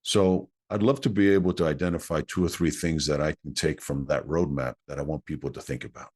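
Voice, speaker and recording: A man's voice: extremely low pitch, fairly even intonation, fairly quick, a little mellow. A man whose first language is Greek, an executive coach answering interview questions in an earnest, explanatory way.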